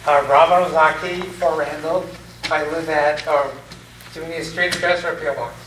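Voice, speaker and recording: A man explains his request at a town board hearing in a halting, informal way, unsure of the procedure. His voice sits a little high. He is picked up from a distance in an echoing room. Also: fairly even intonation, fairly bright tone, slight background noise. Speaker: applicant at public hearing. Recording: echoey room from far off.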